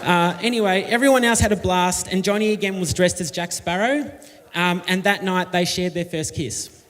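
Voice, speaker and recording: High-voiced man giving a toast. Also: normal speed, a bit bright. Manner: reminiscing, jokey, read from notes. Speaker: wedding speaker, friend of the couple. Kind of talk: wedding toast speech. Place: wedding reception hall. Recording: long hall reverb.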